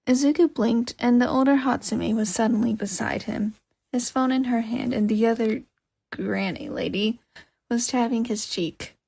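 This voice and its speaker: A woman reading scripted fiction as an expressive audiobook-style narrator. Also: on the high side, moderate pace, gravelly, husky, no room sound. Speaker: podfic narrator.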